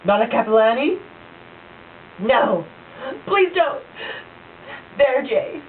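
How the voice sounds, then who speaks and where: A woman voicing a fragile, tearful young wife in an amateur puppet show, her voice high and quavering. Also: expressive, slow. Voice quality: high and quavering, breaking into sobs. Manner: pleading, overwrought amateur acting. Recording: tinny lo-fi home recording with hiss.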